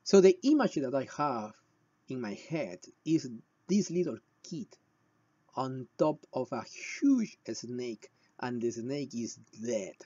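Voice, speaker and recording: Husky, slow-speaking Peruvian man. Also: mid-range voice, animated, dark tone, dry recording, muffled recording. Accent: Peruvian Spanish. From Peru. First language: Spanish. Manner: emphatic, short phrases with deliberate pauses. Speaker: shamanic healer presenting a video. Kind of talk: explanatory talk with personal storytelling.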